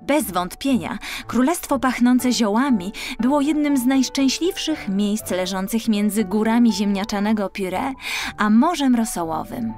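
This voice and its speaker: A woman narrating a scripted fairy tale for children, an audiobook storyteller with expressive, carefully phrased delivery. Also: fairly high pitch, a little slow, soft background music.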